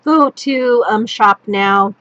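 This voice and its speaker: Craft demonstrator, woman, hoarse and fading voice, chatty with fillers, slightly high pitch, dull tabletop recording. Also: slow pace, fairly bright tone, dry recording. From Northern California. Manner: rambling, full of ums and restarts. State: losing her voice, coughing. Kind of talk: casual product walkthrough. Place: indoors at a wooden table. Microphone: overhead camera on a tabletop, hands only.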